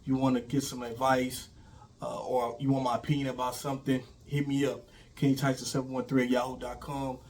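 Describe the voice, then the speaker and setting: Man, middle-aged, a bit raspy from a cold, casual advice talk, loose and rambling. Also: medium pitch, level tone, brisk, a little mellow. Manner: rambling, informal, many fillers and restarts. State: sick, voice a little raspy. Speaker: YouTube host.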